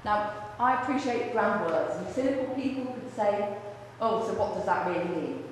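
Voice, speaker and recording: A woman, a college vice principal giving a brisk, businesslike presentation to a room without a microphone. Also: medium pitch, animated. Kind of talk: presentation to a luncheon audience. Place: large echoey function room. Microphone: far room microphone. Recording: echoey hall from far off, faint noise.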